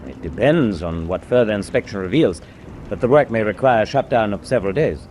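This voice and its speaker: An actor playing a worried, dutiful German chief engineer in a radio drama, speaking formally and respectfully. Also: male voice, on the low side, lively intonation, fairly quick, a little mellow, a little hiss or hum, natural voice. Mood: dutiful, concerned.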